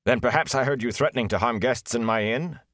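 A man voicing a calm, stern village mayor who speaks softly and rumbles. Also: fast, no echo, clean studio sound, close to an everyday voice. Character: calm, imposing male village mayor and innkeeper.

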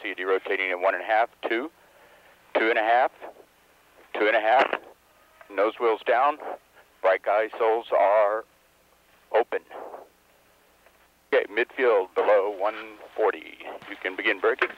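A man's voice: fairly low pitch, fairly even intonation, moderate pace, pauses often. A man in a spacecraft cockpit calling out altitudes and speeds in clipped, matter-of-fact callouts over a headset intercom. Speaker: shuttle crewmember calling out landing data. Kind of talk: terse flight callouts. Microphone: crew headset intercom. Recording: dull archival cockpit audio, faint hiss.

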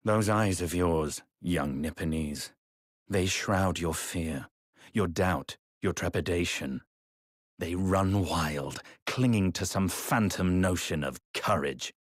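An actor playing a cold, imperious aristocratic prosecutor in a video game, ominous and disdainful. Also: male voice, low-pitched, takes it slowly, dry recording, clean studio sound. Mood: cold menace.

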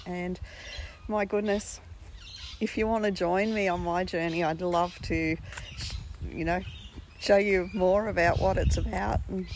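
A woman in her sixties with a husky voice, chatting slowly and warmly in a casual live stream from a sunny park, speaking into a phone held at arm's length. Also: lowish voice. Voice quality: husky today. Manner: slow, heartfelt, gently upbeat. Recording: faint outdoor noise with birds.

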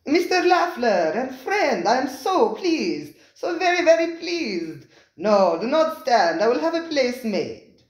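Narrator putting on a purring restaurant owner man, fawning, drawn-out phrases, lively rising pitch, roomy echo. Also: exaggerated voice. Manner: fawning, drawn-out, repeats himself. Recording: roomy echoing room.

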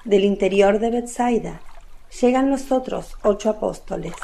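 A woman's voice: mid-range voice, moderate pace, creaky, warm tone, a little hiss or hum. A woman narrating a literary radio play from a script.

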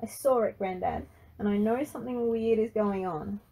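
A woman's voice: fairly high pitch, moderate pace, mellow, husky, voice pushed up. A woman voicing a frightened, angry young boy in a storybook, pleading and defiant with his grandfather. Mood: accusing. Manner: pleading, stuttering when alarmed.

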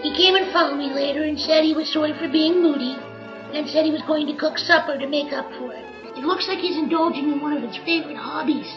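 Woman doing a tiny, extremely high-pitched critter. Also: dull audio, lightly acted. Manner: literal-minded, chatty, childlike. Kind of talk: old-time radio comedy-horror acting.

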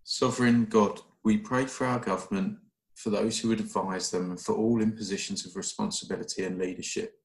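A man's voice: fairly low pitch, steady tone, moderate pace, creaky. A man in his thirties reading an intercession in his living room. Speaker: church member leading prayer. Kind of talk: read-out intercession. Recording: echoey living room webcam recording.

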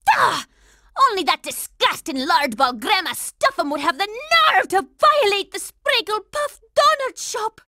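A bossy little girl soldier, super high n sharp, scolding, cartoon game. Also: very animated, a little slow, no room sound, clean studio sound, heavily acted. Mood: exasperated. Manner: scolding, brash, sarcastic. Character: bossy, contemptuous hot-tempered young girl soldier. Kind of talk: cartoon video game voice acting.

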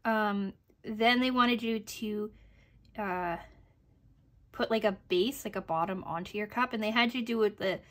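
A woman narrates a hobby review in a casual, chatty way, at an average pitch for a woman. Her voice is a little hoarse, and she feels her way through sentences, often pausing to check in with the listener. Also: a bit bright. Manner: hesitant, chatty, trailing off and checking with "right?". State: slightly hoarse. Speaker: sewing pattern reviewer. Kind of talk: casual review narration over project photos.

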